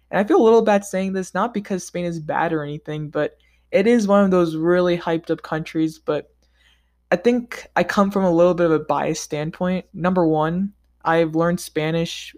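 A man talking straight to his audience in a relaxed vlog. His delivery is upbeat and chatty, loose and conversational, with casual slang. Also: on the high side. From United States. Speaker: vlogger. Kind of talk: casual scripted monologue ranking countries.

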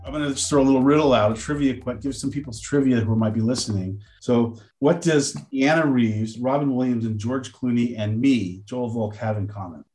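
A man's voice: mid-range voice, fairly quick, hardly any pauses, darkish tone, soft background music. A man hosting a podcast, introducing the show and talking conversationally with a guest. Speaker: podcast host.

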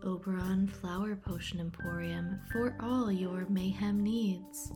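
A woman's voice: mid-range voice, fairly even intonation, slow pace. A woman announcer reading a scripted radio advertisement and introduction. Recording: voice over prominent music. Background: music.

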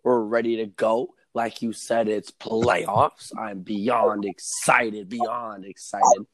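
A man co-hosting a casual sports podcast, a little hoarse, reeling off standings with excitement. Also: on the low side, slow pace, over a noisy crowd. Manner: excited, rattles off stats. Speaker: podcast co-host.

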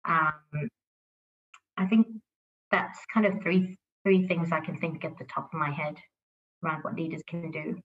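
A Sri woman with a slightly low voice talks in an unhurried way, weighing each phrase and leaning on small fillers as she goes. She is a team manager talking about the workplace. The sound is dull and lo-fi, like remote audio. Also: fairly even intonation. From Sri Lanka. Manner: slow and thoughtful, with many fillers. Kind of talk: workplace podcast conversation.

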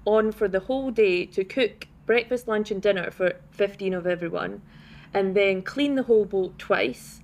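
A young Scottish woman chatting as a guest on a podcast. She talks at length and with animation, her pitch sitting in a middle range. Her voice is plain and unprocessed. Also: moderate pace, darkish tone. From Scotland. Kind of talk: casual interview chat.

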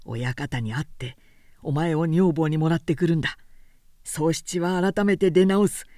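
A woman voicing an earnest young male craftsman who shifts from polite, formal speech to rough, plain-spoken talk. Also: deep voice, unhurried, dark tone, no echo, studio quality, pitched below the performer's own voice. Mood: resolute.

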